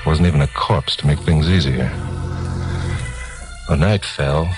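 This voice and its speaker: A man's voice: a bass voice, measured pace. An actor playing a cool, dogged newsman sleuth in a 1950s radio crime drama, with clipped, terse narration. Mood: grim, brooding. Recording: old 1950s radio recording with music.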